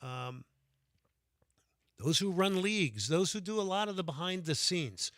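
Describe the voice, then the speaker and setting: A man's voice: high-pitched, lively intonation, unhurried, a bit bright. A man hosting a radio sports call-in show, talking with enthusiasm and taking callers. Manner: enthusiastic, reads out phone number repeatedly.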